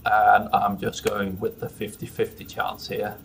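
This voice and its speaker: Low-voiced man doing casual game commentary. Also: level tone, a little slow, faint music behind. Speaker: let's play host. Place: small room at home. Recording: clear background noise, keyboard typing.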